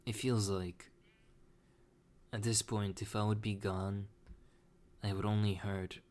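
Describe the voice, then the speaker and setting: A young man talking slowly and hesitantly in a personal confessional monologue, with many restarts. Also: on the low side, flat delivery, a little mellow. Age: young adult. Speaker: vlogger.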